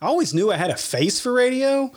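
A man's voice: very high-pitched, big pitch swings, a little fast, background noise. A man hosting a casual podcast from a small home studio, laughing often and swearing freely. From Arkansas, USA. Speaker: podcast host.

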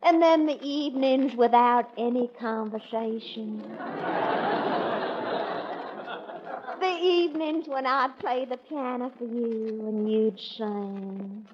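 High-pitched, coquettish Southern belle. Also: female voice. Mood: coaxing, playful. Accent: American South. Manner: gushing, coy, drawn-out pleading. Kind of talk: 1940s radio comedy acting. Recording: dull, noisy 1940s radio recording.

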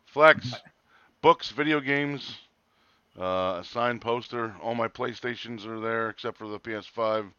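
A man in his forties with a bright, forceful voice tells stories in casual co-host chat. The pitch is average, and the recording is dry but somewhat dull and lo-fi. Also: a little slow, clean tone, no room sound. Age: 40s. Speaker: co-host of a wrestling talk show.

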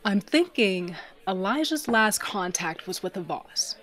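A woman's voice: medium pitch, animated, unhurried, fairly bright tone. A woman playing a shrewd, guarded smuggler captain in an audio drama, speaking in a blunt, commanding way. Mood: brooding, determined. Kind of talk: audio drama acting and first-person narration.

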